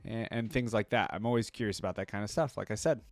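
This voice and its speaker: A man's voice: lowish voice, fast talker, no echo, clean studio sound. A man hosting a reaction video with casual commentary, rambling as he thinks aloud.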